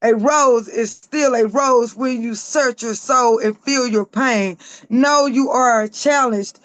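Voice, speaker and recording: Guest author, female, raspy and hoarse, emphatic spoken-word reading, dry close sound. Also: fairly high pitch, slow, no room sound. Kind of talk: reading her own poetry aloud.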